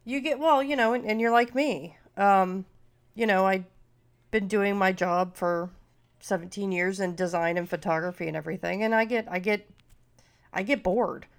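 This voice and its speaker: A woman's voice: medium pitch, normal speed. A middle-aged woman hosting a podcast, talking casually, nasal and stuffy from a cold, rambling with many fillers. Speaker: podcast host.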